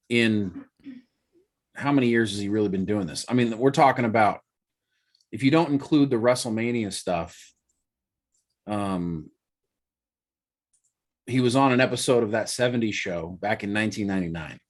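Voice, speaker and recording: A man's voice: fairly low pitch, moderate pace, pauses often. A man in his forties co-hosting a podcast, chatting casually and thinking aloud as he goes. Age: 40s. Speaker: podcast co-host. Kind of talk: casual chat.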